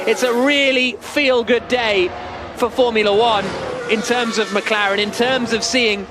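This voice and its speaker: A high-voiced male commentator calls a motor race live. His delivery builds into excited shouting at the finish. Loud engine noise roars behind him throughout. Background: race cars.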